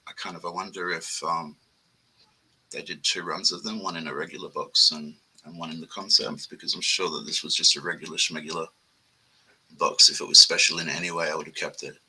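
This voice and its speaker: A man with an Australian accent and a very deep, low voice, talking about his sneakers in a relaxed, laid-back way on a livestream call. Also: brisk, breathy edge, poor audio. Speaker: sneaker collector guest. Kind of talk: casual livestream chat.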